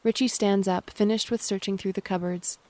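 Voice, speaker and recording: A woman's voice: mid-range voice, steady tone, fairly quick, a little mellow, no echo. A woman reading scripted stage directions as the narrator of an audio drama.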